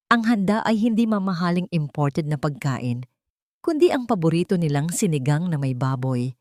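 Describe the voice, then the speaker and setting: A woman narrating a sentimental love story in measured, dramatic short phrases. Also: lowish voice, darkish tone, no room sound, studio quality.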